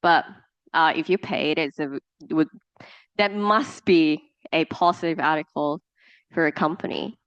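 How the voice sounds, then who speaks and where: A woman with a Hong Kong accent, a native Cantonese speaker, talks a class through a presentation in English. Her voice sits fairly low and sounds smooth and resonant, heard dry and a little muffled. She fills her pauses with "uh" and restarts her sentences as she works through examples. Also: a bit bright, dry recording. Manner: explains with examples, frequent fillers and restarts. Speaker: lecturer.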